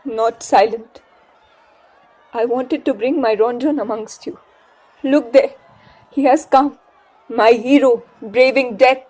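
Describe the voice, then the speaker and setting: A brave young heroine played by a woman with a high-pitched voice. She is devoted and fervent, delivered in the theatrical manner of an audio drama. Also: takes it slowly, pauses often, darkish tone, low-quality recording. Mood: reverent, hopeful. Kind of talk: theatrical stage-play acting in an audio drama.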